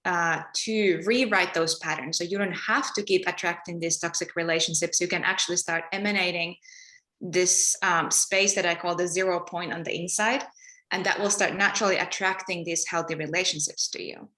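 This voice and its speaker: A woman from Finland, a guest on a podcast, speaking calmly and steadily with many fillers about spiritual healing. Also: lowish voice, normal speed, reverberant, low-quality recording. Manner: calm, flowing, spiritual talk with many fillers. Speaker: guest, energy healer. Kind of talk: podcast interview.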